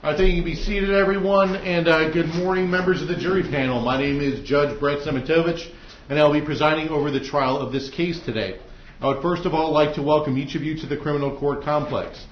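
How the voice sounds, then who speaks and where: A man with an American accent speaking as a judge, formally addressing jurors with halting hesitations. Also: a little high, fairly even intonation, slight background noise. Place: indoor courtroom. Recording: echoey courtroom, dull muffled audio.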